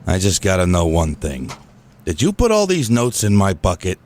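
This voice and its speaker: A man playing a gruff, older small-time crook and sentimental absent father in a comic noir radio play. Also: deep voice, huge pitch swings, normal speed, a little mellow. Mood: suspicious, grumbling.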